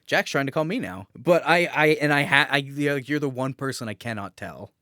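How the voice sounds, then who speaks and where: A male podcast co-host chats casually with a friend. His mid-pitched voice sits in a dry, close recording, and his delivery is animated, teasing and loose with swearing. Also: normal speed, no echo. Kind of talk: casual banter between friends.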